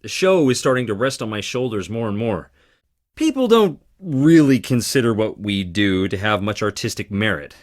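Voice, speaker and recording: Wry, guarded theatre guy, lively intonation. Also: lowish voice, moderate pace. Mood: wry, weary. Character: guarded young man, theatre stage hand and actor, wry. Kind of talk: scripted adventure game dialogue.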